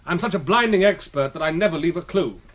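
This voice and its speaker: A man's voice: fairly high pitch, lively intonation, fast. A man playing a droll retired policeman in a radio drama, speaking in a dry, wry manner. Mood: wry boasting. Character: droll retired policeman, dryly boasting armchair murderer.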